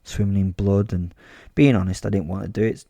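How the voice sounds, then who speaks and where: A man's voice: on the low side, steady tone, a little fast, creaky, mellow, no echo. A man playing a sadistic werewolf serial killer, narrating a horror audio drama in the first person with sardonic, sweary relish. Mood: gleeful, darkly amused.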